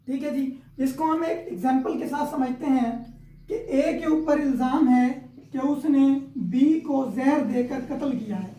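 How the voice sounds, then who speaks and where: Pakistani lady teaching law, high soft-edged mellow voice, keeps repeating points, kinda echoey room. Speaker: law lecturer. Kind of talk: informal explanatory lecture with worked examples. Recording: echoey, roomy sound.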